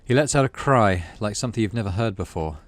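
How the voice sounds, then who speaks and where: Deep-voiced, very expressive male game narrator. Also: fast. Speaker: gaming channel host. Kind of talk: dramatic read-aloud narration of a game story with casual asides. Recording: clearly audible background noise.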